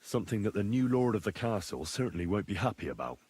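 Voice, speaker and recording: An actor playing an earnest, confident medieval adventurer in a video game, husky and courteous in a measured way. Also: male voice, lowish voice, sing-song, darkish tone. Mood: earnest, businesslike. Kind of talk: video game dialogue acting.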